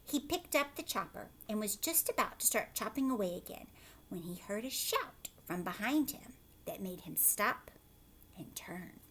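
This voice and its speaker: A woman teacher reading a storybook aloud to children, expressive, with explanatory asides and questions to the listeners. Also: mid-range voice, normal speed, whispered.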